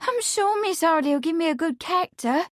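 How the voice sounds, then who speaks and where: A woman's voice: very high voice, lively intonation, normal speed, dry recording, studio quality, voice pushed up. A woman voicing a young maidservant, tearful and scheming, with pleading, sighing delivery. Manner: tearful, pleading, breathy sighs.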